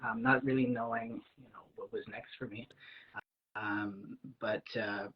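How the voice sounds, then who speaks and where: A man with a French Canadian accent, a native French speaker, telling personal stories in a casual guest chat, lively and acting out the lines he quotes, with plenty of fillers. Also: on the low side, measured pace, slightly rough, highs cut off. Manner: animated, acts out quoted lines, many fillers. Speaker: guest, aspiring actor. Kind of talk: casual storytelling.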